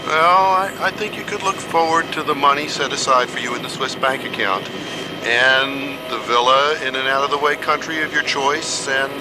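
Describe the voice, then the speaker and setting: Male actor as slick US government deal-maker, radio satire: mid-pitched, cajoling, exasperated, loud plane noise behind. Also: fairly even intonation, normal speed, close to an everyday voice. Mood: placating. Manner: cajoling, businesslike, placating. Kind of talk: satirical radio play acting.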